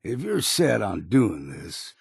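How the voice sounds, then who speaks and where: A weathered old hunter speaks with a deeply creaky, raspy voice. He is blunt, proud and weary, a game character with a folksy, plain-spoken drawl. The sound is very dry, with no room around him. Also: huge pitch swings, takes it slowly, no room sound, heavily acted. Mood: wary, measured. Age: older. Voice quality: gravelly, husky. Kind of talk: video game character dialogue.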